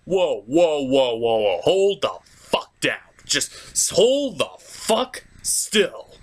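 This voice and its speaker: A man's voice: fairly high pitch, very animated, slow pace. A man delivering a ranting, profane review as an online host, exasperated and emphatic.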